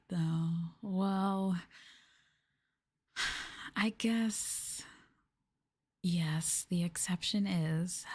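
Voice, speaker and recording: A woman speaks softly and slowly into a close microphone, her voice breathy and often slipping into a whisper. She chats in a gentle, playful, casual way, as an ASMR streamer does. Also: lowish voice. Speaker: ASMR livestream host. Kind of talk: soft-spoken ASMR casual chat with viewers.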